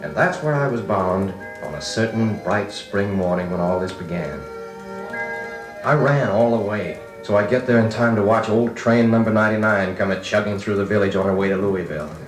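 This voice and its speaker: A man's voice: fairly low pitch, moderate pace, lightly acted. A man playing a grown-up recalling his farm boyhood, narrating in a folksy, nostalgic American voice like an old radio drama. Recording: echoey vintage record with music.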